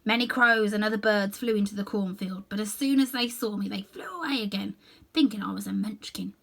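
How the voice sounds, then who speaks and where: A woman's voice: mid-range voice, brisk, gravelly, fairly bright tone. A woman voicing a naive male scarecrow, earnestly and simply telling his story as in a children's storybook. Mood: earnest, wistful.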